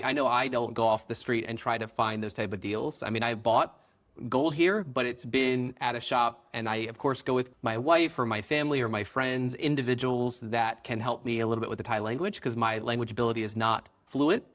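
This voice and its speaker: A man's voice: mid-range voice, a little fast. A man hosting an interview, asking questions and summing up the guest's points in a friendly way. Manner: friendly, sums up and checks facts. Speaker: podcast host.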